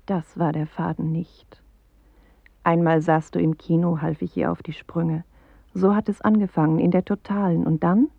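An actress playing a watchful inner narrator in a poetic radio play, confiding dry commentary in a husky, creaky, often half-whispered voice. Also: female voice, fairly low pitch, fairly even intonation, normal speed, no room sound. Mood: prompting, watchful. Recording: thin, phone-like band, very dry.

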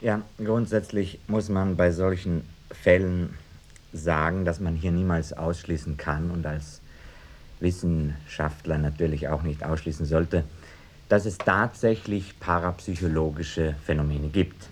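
An academic expert in a radio drama. He has a low voice and picks his words slowly and pedantically, hedging like a cautious scholar. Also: steady tone. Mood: pedantic, measured. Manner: hesitant, deliberate, academic hedging. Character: pedantic male university professor, parapsychology expert witness. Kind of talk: mock documentary radio drama, expert statement.

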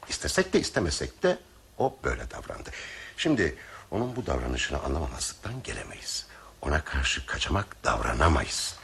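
An actor playing a principled, grave male passenger in a radio play, reasoning in a measured, formal way. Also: very deep voice, gravelly, a bit bright, husky, exaggerated voice. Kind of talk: Turkish radio theatre acting.

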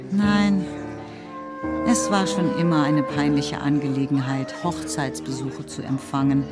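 A woman narrating a literary radio play in a scripted storytelling style. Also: low-pitched. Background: music.

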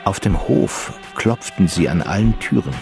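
A man as the sober, measured narrator of a radio crime drama, reading literary prose. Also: low-pitched, animated. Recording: faint music under the voice.

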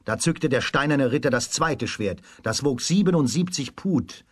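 A man narrating a scripted fairy tale for a children's radio play in a measured storytelling manner. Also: medium pitch, lively intonation, no room sound, clean studio sound.

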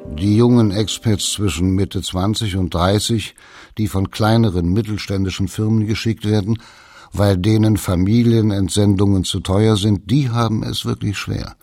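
An expatriate man in a radio play. His low voice explains in a documentary monologue, closely and dryly recorded with faint music behind. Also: fairly even intonation, moderate pace, darkish tone, no room sound, studio quality. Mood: explanatory.

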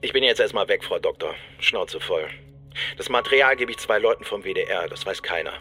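A man's voice: fairly low pitch, lively intonation, fairly quick, sharp tone. A man playing an embittered, suspicious police detective in a naturalistic radio crime drama, blunt, colloquial and sardonic. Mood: resigned, defiant.

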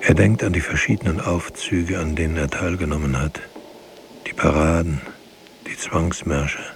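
Radio drama narrator: grave poetic witness, very low creaky voice, solemn delivery, faint hiss. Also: male voice, steady tone, moderate pace.

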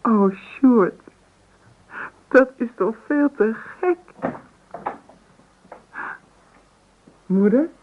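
Tinny radio-play young daughter in love. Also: female voice, fairly high pitch, expressive, takes it slowly. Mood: surprised, moved. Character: young woman in love, defiant emotional daughter. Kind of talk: Dutch radio play acting. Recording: tinny, noisy old radio recording.

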